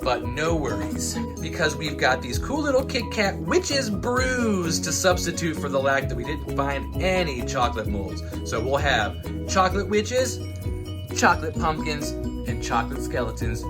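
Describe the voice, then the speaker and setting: A man's voice: mid-range voice, sing-song, measured pace. A man hosting a casual movie vlog, chatty and emphatic, with a jokey streak. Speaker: host of a movie night vlog. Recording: loud music bed under voice. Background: music.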